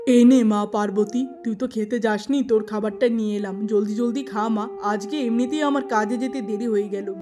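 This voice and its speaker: A woman's voice: a little high, fairly even intonation, normal speed, hardly any pauses, music in the background, lightly acted. A woman voicing a poor, weary middle-aged father in a cartoon moral tale, pleading and plaintive. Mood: placating.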